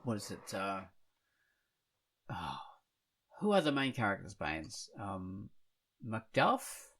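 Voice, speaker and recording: A man's voice: lowish voice, big pitch swings, slow, fairly bright tone. A man hosting a podcast in casual chat, rambling and thinking aloud as he goes. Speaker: podcast host.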